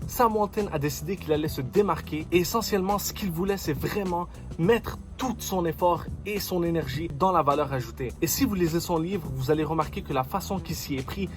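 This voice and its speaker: A man whose first language is Arabic, talking to camera about business, explaining with rhetorical questions. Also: a little high. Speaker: entrepreneurship vlogger. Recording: music playing underneath. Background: background music.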